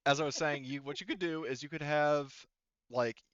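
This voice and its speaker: Man, game master, smooth voice, casual talk, close dry slightly muffled mic. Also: on the high side, moderate pace, fairly bright tone, no echo. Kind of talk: running an online tabletop game, casual.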